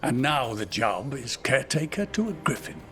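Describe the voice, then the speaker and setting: An actor playing a cranky, wry old elf who keeps a herd, teasing and plain-spoken, in a video game. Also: male voice, mid-range voice, sing-song, moderate pace, music in the background, natural voice. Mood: amused, teasing. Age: older.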